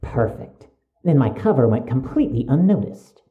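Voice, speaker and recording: An actor playing a suspicious young medical student in an audio drama, speaking with precise, urgent, stiffly formal wording. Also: male voice, mid-range voice, sing-song, normal speed, narrow, tinny audio, lightly acted. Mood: wry, insistent.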